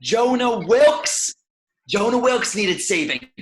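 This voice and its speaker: A man's voice: extremely high pitch, expressive, slow, full character voice. A man playing an embittered, accusing villain with wounded intensity in an amateur screenplay table read. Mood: angry, accusing. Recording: echoey home room.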